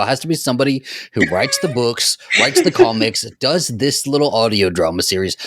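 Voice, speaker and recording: A man's voice: fairly low pitch, fairly bright tone, no room sound, studio quality. A man co-hosting a podcast in casual, opinionated banter, emphatic and theatrically exasperated. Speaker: podcast co-host.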